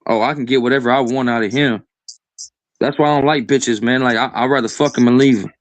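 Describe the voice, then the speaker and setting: A man's voice: mid-range voice, fairly even intonation, quick pace, no echo. Rambling, crude young man, dry sound. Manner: rambling, crude, says "bro" and "like" constantly. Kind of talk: casual profane chat.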